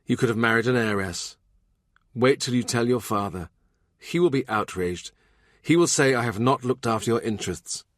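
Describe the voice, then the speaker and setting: A man's voice: medium pitch, moderate pace, no room sound, clean studio sound, natural voice. A man voicing a shrewd middle-aged royal minister, blunt and wry, in audiobook dialogue. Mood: exasperated.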